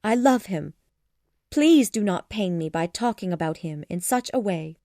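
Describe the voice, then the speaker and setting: An earnest young heroine of a storybook, read by a woman, speaks with a lively, expressive range. She is sincere and spirited, in a dry, close recording. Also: medium pitch, moderate pace, no room sound, lightly acted. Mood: anxious, pleading.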